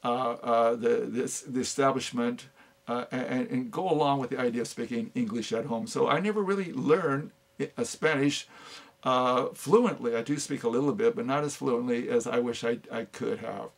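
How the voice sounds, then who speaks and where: An older man giving an unscripted talk on philosophy, halting often with fillers and restarts. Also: mid-range voice, vocal fry. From United States. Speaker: speaker on philosophy.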